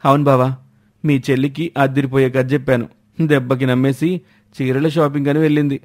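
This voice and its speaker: A hot-tempered husband acted by a man who talks briskly. He is defensive and crafty, a figure from a storybook family drama. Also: mid-range voice, level tone, lightly acted. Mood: boastful.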